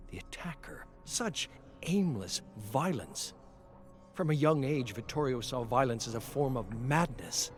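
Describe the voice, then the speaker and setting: A man reading a scripted story in a theatrical, intense way that moves between hushed and urgent. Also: medium pitch. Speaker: narrator. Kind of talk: dramatic scripted story narration. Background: background music.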